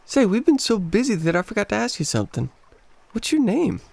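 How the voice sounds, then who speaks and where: A man's voice: high voice, big pitch swings, a little fast, gravelly, darkish tone, dry recording, exaggerated voice. A man playing an awkward, smitten young American vacationer in a radio play, hesitant and self-effacing. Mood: smitten, nervous.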